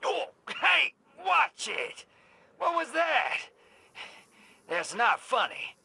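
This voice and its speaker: An actor playing a brash, sarcastic rogue in a video game, irreverent and quick to irritation. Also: male voice, very high voice, sing-song, creaky, pushed and bright, husky, exaggerated voice. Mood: panicked, angry. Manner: wisecracking, irreverent. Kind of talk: video game cutscene voice acting.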